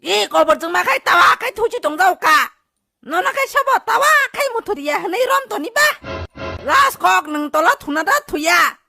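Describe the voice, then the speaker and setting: A woman voicing an excitable, squeaky cartoon character with an animated, exclamatory delivery in a dub. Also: normal speed, gravelly, no echo, studio quality, full character voice. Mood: animated bickering. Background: faint music.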